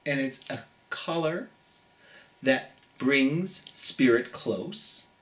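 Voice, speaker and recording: Slow, expressive children's storytime host. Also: medium pitch. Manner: gentle, theatrical, speaking directly to children with drawn-out emphasis. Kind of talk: live storytime for children, chatting and reading a picture book aloud.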